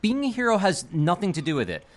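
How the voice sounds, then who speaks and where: Man playing evasive young theater boss, earnest, lively intonation, slight noise behind, radio play. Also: high voice, fast talker. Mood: weary, defensive. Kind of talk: audio drama acting. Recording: faint background noise.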